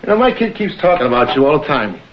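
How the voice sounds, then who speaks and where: A man's voice: mid-range voice, sing-song, fast, close to an everyday voice. A man playing a friendly, eager father in a vintage TV drama. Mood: welcoming, eager. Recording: noisy, dull vintage TV soundtrack.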